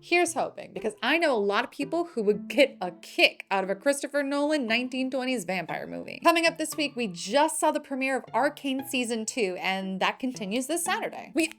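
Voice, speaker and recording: High-voiced chatty woman hosting entertainment news. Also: animated, fairly quick, few pauses. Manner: chatty, playful fan commentary. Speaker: host of a fantasy movie news show. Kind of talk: scripted news narration with casual, opinionated asides. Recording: music bed behind the voice. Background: background music.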